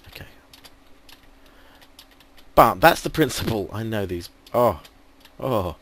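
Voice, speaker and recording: A man's voice: lowish voice, lively intonation, takes it slowly, fairly bright tone. An English man commentating casually on his game, thinking aloud with many ums, while losing his voice. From England. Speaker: gaming video host. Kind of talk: casual let's play commentary.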